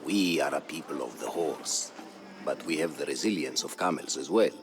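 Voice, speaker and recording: An actor playing a proud, solemn Berber chronicler, narrating a video game campaign in a grave, measured, formal manner. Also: male voice, rumbling low. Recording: studio voice-over with music behind.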